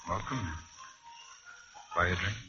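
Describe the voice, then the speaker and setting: Coy, low-voiced young nightclub man. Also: takes it slowly. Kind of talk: old-time radio noir acting. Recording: dull old radio recording with music.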